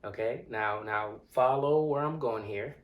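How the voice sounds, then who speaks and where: Young man played by a male actor, mid-pitched, earnest and insistent monologue delivery, deliberate pauses, roomy echo. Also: highs cut off, close to an everyday voice. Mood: patient, reasoning. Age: young adult. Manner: deliberate, hesitant pauses and repeated words, building to insistence. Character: earnest, indignant young man confronting strangers he overheard. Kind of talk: dramatic monologue, conversational direct address. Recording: echoey room.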